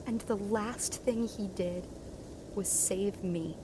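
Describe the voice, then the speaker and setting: A woman's voice: mid-range voice, steady tone, noisy background, lightly acted. A woman playing an earnest, determined young heroine burdened with guilt in a family audio drama. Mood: remorseful, tearful. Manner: earnest, halting. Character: determined, guilt-ridden young heroine climbing a magical mountain.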